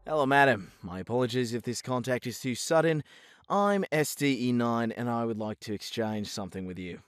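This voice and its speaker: A man's voice: medium pitch, expressive, a little fast, smooth, no room sound, clean studio sound, close to an everyday voice. A man voicing a chatty, slightly smug killer robot in a comic dub, with theatrical, scheming politeness. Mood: formal, deferential. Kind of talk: fan comic dub acting. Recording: music behind the voice.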